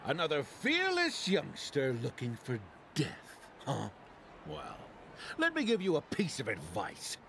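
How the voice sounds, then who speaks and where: A man's voice: mid-range voice, huge pitch swings, unhurried, gravelly, fairly bright tone, slight background noise, full character voice. An actor playing a grumpy, jaded old man in a video game, grumbling scornfully and theatrically. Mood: lecturing, weary. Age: older.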